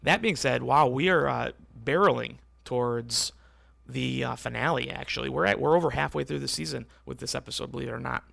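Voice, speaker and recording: A man hosting a casual solo podcast, rambling and correcting himself as he talks, with a voice that is going hoarse. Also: medium pitch, fairly quick, fairly bright tone, no echo. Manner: rambling, self-correcting, conversational. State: losing his voice, hoarse. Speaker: podcast host.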